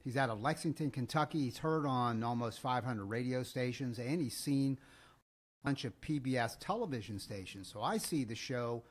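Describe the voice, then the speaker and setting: A man's voice: medium pitch, normal speed. A man co-hosting a podcast in casual, unscripted chat, with a chatty storytelling manner. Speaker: podcast co-host.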